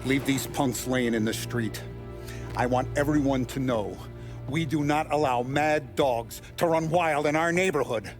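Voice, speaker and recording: An actor playing a middle-aged mob boss in a game, paternal but ruthless, speaking with deliberate authority. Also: male voice, mid-range voice, over music, natural voice. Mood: angry, vengeful. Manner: deliberate, authoritative, giving orders. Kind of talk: dramatic video game acting.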